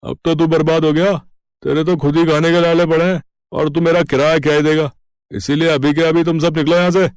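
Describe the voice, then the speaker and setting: A man's voice: fairly high pitch, level tone, brisk, clean tone, no echo, clean studio sound, lightly acted. A man voicing a lecherous, bullying village landlord, a rude, sneering villain in a moral story. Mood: sneering, leering.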